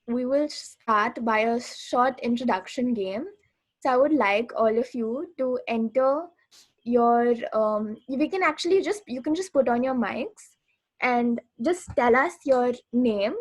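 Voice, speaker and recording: A woman's voice: a little high, darkish tone, no room sound, poor audio. A woman in her twenties informally facilitating an online group workshop as its host. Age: 20s. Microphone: online video call mic.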